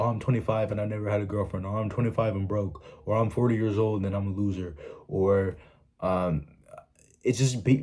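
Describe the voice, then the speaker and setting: A man's voice: on the low side, mellow, husky. A young man giving blunt, profane self-help advice to his viewers in a casual monologue, stopping often to ask "right?".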